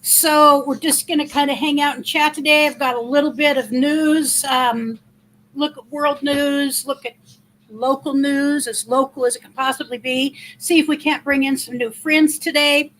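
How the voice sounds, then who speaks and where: A middle-aged woman with a big, loud voice, hosting a casual livestream in a chatty, rambling way and thanking viewers by name. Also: sits high, fairly even intonation, moderate pace, slight background noise, rough recording. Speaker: livestream host.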